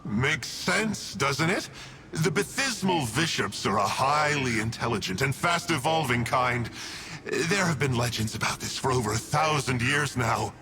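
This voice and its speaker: A man's voice: mid-range voice, lively intonation, unhurried, a little hiss or hum, close to an everyday voice. A man playing a cocky, teasing young villain in a video game, with a flippant, theatrical delivery. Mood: wry, probing. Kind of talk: scripted game cutscene dialogue.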